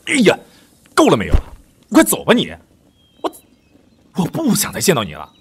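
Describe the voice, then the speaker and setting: Flustered young prince regent, played by a man, wildly swooping lowish voice, stammering costume radio drama, reverberant with music. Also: huge pitch swings, normal speed, slight background noise. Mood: exasperated. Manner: stammering, theatrical, exasperated. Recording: echoey reverb with music behind.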